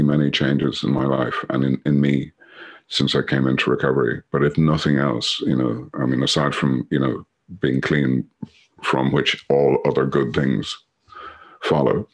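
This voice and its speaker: A man's voice: extremely low pitch, fairly even intonation, measured pace, breathy edge. A middle-aged Irish man sharing his story on a video call, rambling with many fillers and a dry, sweary humor. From Ireland. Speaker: recovery meeting speaker.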